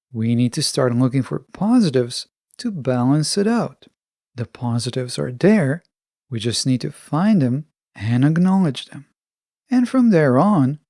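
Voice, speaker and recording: A man hosting a podcast, delivering a scripted solo monologue in a measured, instructional way, speaking straight to listeners. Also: fairly high pitch, a little mellow, no room sound, clean studio sound. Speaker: podcast host.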